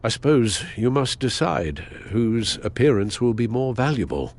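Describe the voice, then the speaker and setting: An actor playing an earnest, fatherly older butler in a video game, speaking in a measured, formal way. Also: medium pitch, sing-song, darkish tone. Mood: measured, advising. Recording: music behind the voice.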